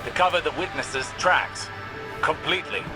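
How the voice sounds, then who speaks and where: A man voicing a stern, measured mentor. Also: a little high, natural voice. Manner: calm, measured, commanding. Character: stern wise male mentor and mission overseer. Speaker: mission guide character in a video game. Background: game music.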